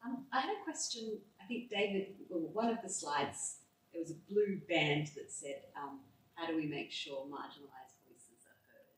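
A woman's voice: deep voice, normal speed, a little hiss or hum. A woman in the audience politely asks a question from the floor, hesitating with filler words. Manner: polite, hesitant with many ums. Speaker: audience member asking a question. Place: university seminar room. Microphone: handheld mic. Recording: very echoey room from far off.

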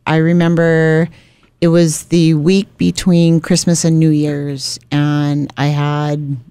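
A woman's voice: low-pitched, completely flat delivery, takes it slowly, warm tone, clean tone, dry recording. A middle-aged woman from Hawaii, a radio executive telling her story on a podcast, often adding "you know" in a small radio studio at a broadcast mic. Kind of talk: casual interview chat. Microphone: studio broadcast mic on boom arm.

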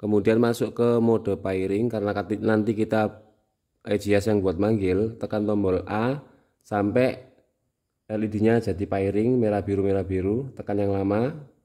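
A man's voice: on the low side, steady tone, moderate pace, warm tone. A man with a Javanese Indonesian accent, giving a casual how-to demonstration as a tutorial host. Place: indoors at home, small room.

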